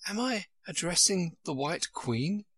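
A man voicing Alice, a polite and timid young girl, in a gently raised voice. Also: high voice, expressive, measured pace, husky, dry recording, pitched above the performer's own voice, heavily acted.

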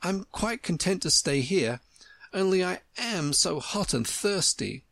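A man's voice: sits high, lively intonation, unhurried, vocal fry, a bit bright, no echo, pitched above the performer's own voice, heavily acted. A man voicing a polite, puzzled young girl in a storybook, earnest and a little hesitant as she mildly protests. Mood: politely weary.

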